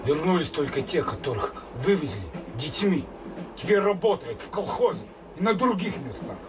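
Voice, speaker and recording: An actor playing a reserved young man with a Latvian accent, answering quietly on a train. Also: a little high, sing-song, moderate pace, distant mic, background noise. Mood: restrained.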